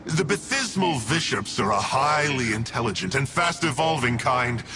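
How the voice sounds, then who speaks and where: An actor playing a sly, smug villain of a dark order in a fantasy game, teasing and theatrical in a conversational way. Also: male voice, mid-range voice, animated, moderate pace, music in the background, lightly acted. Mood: smug, explaining. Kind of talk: fantasy video game voice acting.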